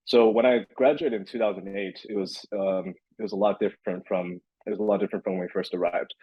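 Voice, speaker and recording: Low-voiced man, halting podcast guest. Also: fairly even intonation, slightly rough, dry recording, lo-fi sound. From born in California, raised in Beijing. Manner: halting, many restarts and fillers. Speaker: podcast guest, crypto entrepreneur. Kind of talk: casual interview answers.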